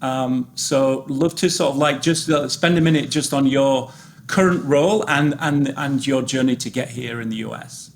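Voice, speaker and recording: A man's voice: mid-range voice, moderate pace, slight background noise. Rambling conversational male podcast host. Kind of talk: podcast interview.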